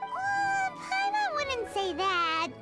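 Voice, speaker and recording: Tiny fairy girl sidekick, extremely high squeaky voice, wildly swooping, indignant and nosy, anime game, loud music behind. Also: very animated, drawn-out words, full character voice. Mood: curious. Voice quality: squeaky, childlike. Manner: exclamatory, speaks of herself in third person. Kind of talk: anime video game voice acting.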